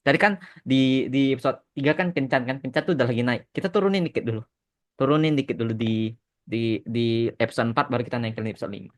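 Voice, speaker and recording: A man's voice: mid-range voice, quick pace. A man hosting a casual podcast chat, mixing English phrases into his talk. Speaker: podcast host and animation creator answering fan questions.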